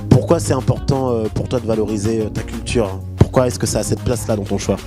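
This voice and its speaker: A man hosting a pageant casting, introducing candidates and asking friendly, brisk questions. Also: on the low side, steady tone, over music. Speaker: host. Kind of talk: presenting and interviewing.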